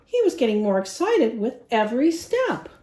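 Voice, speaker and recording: Animated, high-voiced woman storyteller, roomy sound. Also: normal speed. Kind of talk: animated children's story reading.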